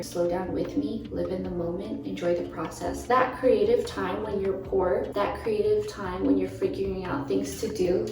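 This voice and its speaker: Croaky gravelly young woman giving a pep talk, mellow tone, sounds a bit off mic with faint music behind. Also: medium pitch, recorded from a distance. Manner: earnest, encouraging, musing. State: croaky from little sleep. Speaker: lifestyle vlogger. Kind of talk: reflective talk to camera. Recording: echoey, from off the mic, soft music.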